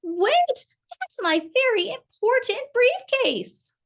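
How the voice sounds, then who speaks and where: A woman's voice: very high voice, sing-song, slow, voice pushed up, exaggerated voice. A woman voicing a frantic, self-important male tiger office worker in a children's storybook, calling out urgently and emphatically. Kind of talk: children's storybook read-aloud.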